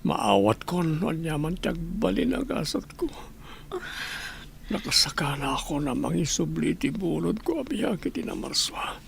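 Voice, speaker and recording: Groaning, gravelly sick husband. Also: male voice, medium pitch, a little hiss or hum, exaggerated voice. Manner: groaning, anguished, drawn-out. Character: sick, regretful husband with a drinking past, groaning in pain. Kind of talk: Ilocano radio drama acting.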